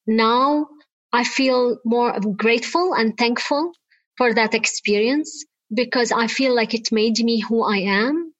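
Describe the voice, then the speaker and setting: A woman from Lebanon answers interview questions over a call, earnest and reflective, with a light laugh now and then. Her voice sits a bit high. The audio is dull and lo-fi, with no room sound. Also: dry recording. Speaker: guest. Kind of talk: remote interview conversation.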